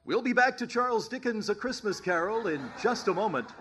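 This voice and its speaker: Man as bright, animated old-time radio announcer. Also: high voice, a little fast, a little hiss or hum. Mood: upbeat, selling. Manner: polished, salesy. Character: upbeat 1930s radio announcer pitching coffee. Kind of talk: 1930s radio advertising.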